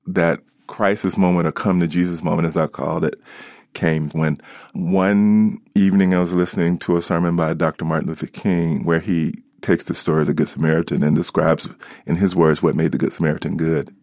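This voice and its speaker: Very deep-voiced American man, dry sound. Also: fairly even intonation, a little fast, darkish tone, dry recording. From Alabama, United States. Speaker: doctor, interview guest. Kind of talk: reflective interview talk.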